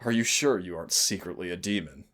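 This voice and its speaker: A man's voice: sits low, fast, a bit bright. A man playing a cocky, sardonic demon mentor in a scripted roleplay audio, teasing and exasperated yet fond. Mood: panicked, indignant.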